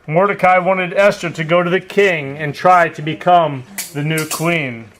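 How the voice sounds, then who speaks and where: A man with a high voice narrates a puppet show for children. He tells the story in simple, deliberate phrases, with faint noise behind him. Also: steady tone.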